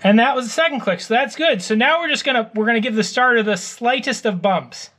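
A man's voice: very high-pitched, a little fast, sharp tone. A man in his thirties working on a truck in a garage workshop, wry and self-mocking, his voice worn from days of talking. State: losing his voice. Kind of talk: casual workshop vlog. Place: cluttered wooden garage workshop.

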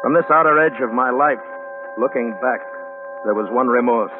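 A man's voice: medium pitch, normal speed, close to an everyday voice. An actor in a mid-century radio drama playing a reflective, doomed spaceman who narrates in a brooding, poetic manner. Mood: brooding, resigned. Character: reflective spaceman narrating his own doom. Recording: tinny 1950s radio, loud music behind.

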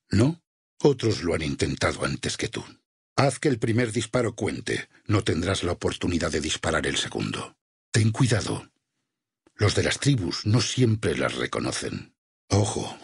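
An actor playing a stern, vengeful religious war leader in a Spanish video game dub, with a Castilian accent, a husky rasp and solemn, preacherly phrasing. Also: male voice, low-pitched, dry recording, clean studio sound, heavily acted. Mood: stern, menacing. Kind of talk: dubbed video game voice acting.

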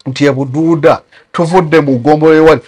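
Fast animated Ugandan man. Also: a little high, breathy edge. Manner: animated and critical, mixes English words into his speech. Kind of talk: talk show commentary.

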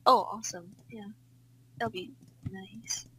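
A woman with a medium-pitched voice chats casually during a team game. Her mic is noisy, with typing and clicks in the background. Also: steady tone, takes it slowly. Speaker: gamer in a team match. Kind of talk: casual gaming chat.

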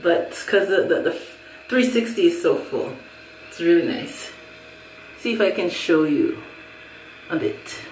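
A woman's voice: lowish voice, expressive, measured pace, pauses often, a little mellow, far from the mic, a little hiss or hum. A middle-aged Jamaican woman reviewing a wig in a casual, chatty way, laughing often and repeating herself. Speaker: hair vlogger. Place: small room at home, indoors. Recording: echoey room, a little off mic.

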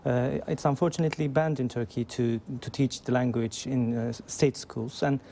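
A man's voice: medium pitch, fairly even intonation, normal speed, dark tone, slight background noise. A man speaking as a journalist guest in a TV news studio, thoughtful and stumbling on words. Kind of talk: studio interview.

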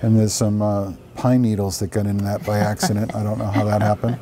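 Bright-voiced man co-hosting a cooking show. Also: on the low side, level tone, quick pace. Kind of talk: casual cooking demonstration chat. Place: large indoor demonstration kitchen. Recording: faint background noise.

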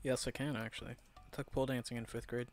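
A man's voice: medium pitch, level tone, quick pace, creaky, soft background music. A young man streaming a game at home on a headset, joking around, swearing and laughing with a friend. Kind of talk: casual gaming stream banter.

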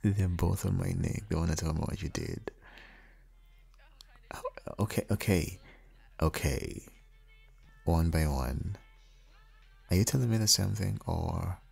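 An affectionate young father and husband acted by a man for an intimate ASMR roleplay. His voice sits extremely low, with a heavy husky breathiness. He speaks slowly and softly, teasing gently and often slipping into a whisper. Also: soft background music, full character voice. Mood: playful, indulgent. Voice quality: very deep, husky, gravelly, breathy. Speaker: audio roleplay performer.

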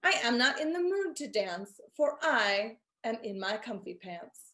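A proud storybook queen, played by a woman reader, speaks in a higher voice. She is firm and defiant, declaiming her rhymes slowly and scolding as she goes. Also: very animated, fairly bright tone. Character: proud, defiant adult queen who loves comfort. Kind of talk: children's storybook character reading.

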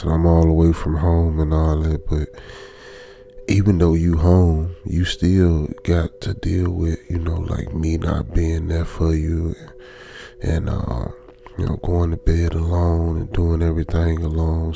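A man playing a lonesome, uneasy young trucker, muttering colloquially with pauses in an ASMR radio drama. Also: sits low, monotone, gravelly, dark tone. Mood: remorseful, tender. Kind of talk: ASMR old-school radio drama monologue.

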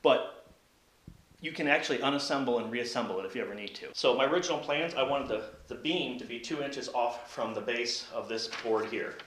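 A man's voice: medium pitch, a little fast, a bit bright. A man explaining woodwork in an echoey shop. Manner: explains steps plainly while working. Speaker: woodworking channel host. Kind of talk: hands-on workshop tutorial. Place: indoor home workshop, cluttered and hard-walled. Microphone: camera on a tripod a few metres away. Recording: echoey workshop with tool noise. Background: power tool and workshop noise.

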